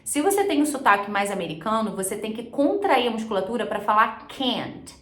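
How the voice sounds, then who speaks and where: A woman's voice: a little high, very animated, fast, reverberant. A Brazilian woman giving a conversational, explanatory language lesson, mixing English terms into her speech as she instructs. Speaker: English teacher and video host.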